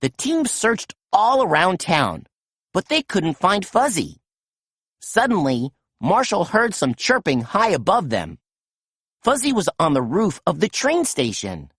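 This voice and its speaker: A woman reading a children's picture book aloud in a gentle, expressive storytelling manner. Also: lowish voice, measured pace, slightly rough, no echo, studio quality. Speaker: storytime reader.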